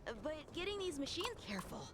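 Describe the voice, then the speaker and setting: A woman's voice: high voice, very animated, fast talker, very noisy. An actress playing a helpful, chatty female guide in a video game, casual and a little hesitant. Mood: helpful, unsure.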